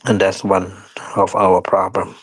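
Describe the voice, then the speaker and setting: Slow, low-pitched man guiding meditation. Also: little pitch movement, slightly rough, dry recording. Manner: unhurried, short phrases with pauses; non-standard English grammar. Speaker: meditation teacher. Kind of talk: guided meditation instruction.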